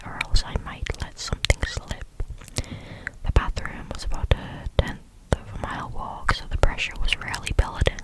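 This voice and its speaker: A person speaking entirely in a breathy, unvoiced whisper, reading stories aloud in a casual, conversational ASMR style. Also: low-quality recording. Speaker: host reading listeners' or online stories aloud.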